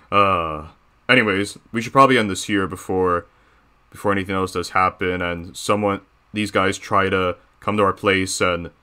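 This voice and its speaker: A man's voice: low-pitched, pushed and bright. A man voicing a boastful, bossy cartoon bear boss in rambling, self-satisfied improvised banter. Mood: casual, conspiratorial. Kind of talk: improvised character reaction banter.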